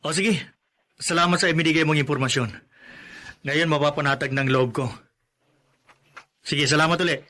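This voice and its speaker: A man's voice: fairly high pitch, normal speed, pauses often, sharp tone. An actor playing a protective, worried young husband and expectant father in a radio drama. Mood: relieved.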